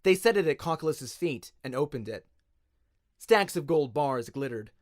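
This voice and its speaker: Expressive Australian man narrating, lively pitch. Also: moderate pace, no room sound, studio quality. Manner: expressive storytelling. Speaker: audiobook narrator. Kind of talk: dramatic scripted narration, radio-play audiobook.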